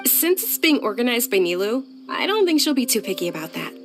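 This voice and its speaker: A gentle young lady of good birth in a video game. The voice is a little high. The manner is polite, soft-spoken and earnest, with light music underneath. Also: very animated, brisk. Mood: pleased. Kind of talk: video game dialogue acting.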